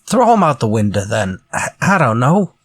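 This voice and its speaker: A man's voice: medium pitch, big pitch swings, normal speed. An actor playing an easygoing, casual male pal in a retro radio drama. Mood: helpful, casual. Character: easygoing male drinking buddy offering ideas.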